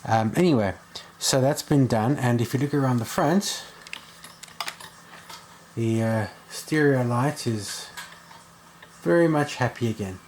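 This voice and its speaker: A man's voice: mid-range voice, unhurried, pauses often, a little mellow. A man talking casually and thinking aloud as he does a hands-on repair vlog. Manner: informal, thinking aloud while working. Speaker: video host and radio repair hobbyist. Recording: clear background noise.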